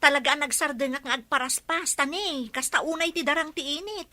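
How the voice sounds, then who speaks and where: A woman playing an excitable young village gossip, chatty and theatrical, in a comedy radio drama. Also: high voice, normal speed, no room sound. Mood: gossipy, excited.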